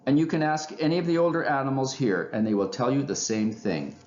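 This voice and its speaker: A man's voice: medium pitch, animated, fairly quick, darkish tone, roomy sound, muffled recording, slight background noise, voice pushed up, close to an everyday voice. A man voicing a proud, self-assured female crow with a temper in a children's storybook, insistent in manner. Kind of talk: children's storybook character reading.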